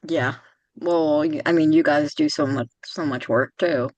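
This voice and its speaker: A woman's voice: low voice, a little slow, a little mellow, dry recording. A woman with a youthful-sounding voice hosting a casual podcast interview, chatty and rambling with frequent restarts. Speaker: podcast host.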